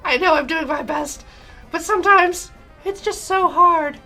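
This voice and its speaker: A woman's voice: very high-pitched, measured pace, sharp tone, soft background music, pitched above the performer's own voice, exaggerated voice, low-quality recording. A woman voicing a clingy, sweetly earnest young shop clerk from a dating sim, in an emotive way. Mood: tearful. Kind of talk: dating sim character read aloud.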